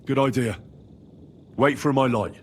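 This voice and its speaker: An actor playing a loyal, down-to-earth young scout in a video game, speaking in a straightforward way. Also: male voice, medium pitch, measured pace, slight background noise, natural voice. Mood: businesslike. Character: plain-spoken, loyal young adventurer and scout. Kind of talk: video game dramatic acting.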